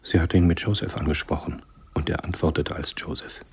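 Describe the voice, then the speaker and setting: A male narrator with an extremely deep, gravelly-husky voice tells a radio drama. His delivery is sober and measured, with little melodic movement. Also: fairly even intonation. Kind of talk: scripted radio play narration.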